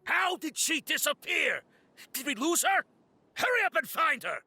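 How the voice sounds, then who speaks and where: A man's voice: very high-pitched, very animated, normal speed, pushed and bright, exaggerated voice. A man voicing an aggressive, alarmed city guard in a game, urgent and indignant as he barks. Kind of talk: dramatic game voice acting.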